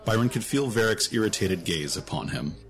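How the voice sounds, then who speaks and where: A man's voice: lowish voice, lively intonation, a little fast. A man narrating a scripted fantasy story as an audiobook narrator.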